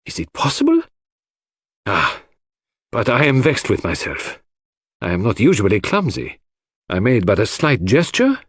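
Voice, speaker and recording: A man voicing a fussy, excitable, vain foreign detective who speaks emphatically in an audiobook mystery. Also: on the low side, unhurried, long pauses, husky, no room sound, clean studio sound, full character voice. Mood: vexed. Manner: emphatic, repeats words, French phrases sprinkled in.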